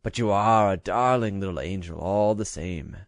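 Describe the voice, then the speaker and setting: A man's voice: low-pitched, normal speed, no room sound, clean studio sound. Man doing a gushing, fawning old governess. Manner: gushing, flattering. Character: fawning fussy woman governess.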